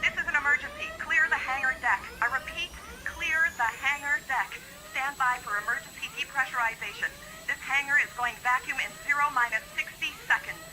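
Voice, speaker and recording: Officious female controller, radio effect, fairly high voice, audio drama, background rumble. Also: lively intonation, brisk, sharp tone, full character voice, rough recording. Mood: alarmed. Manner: curt, by-the-book.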